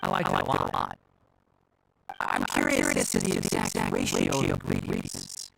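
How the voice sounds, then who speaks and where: A man's voice: rumbling low, monotone, a little slow, creaky, exaggerated voice, low-quality recording. A man voicing a clever young forest scholar in an anime-style game, analytical and dryly wry. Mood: curious. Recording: music behind the voice.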